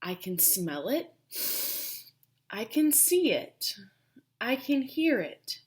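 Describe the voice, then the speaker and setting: A contented, calm lion from a children's storybook, voiced by a woman. The lines come slowly, with a sighing purr and expressive rises and falls. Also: fairly high pitch. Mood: satisfied. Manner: slow, sighing, purring.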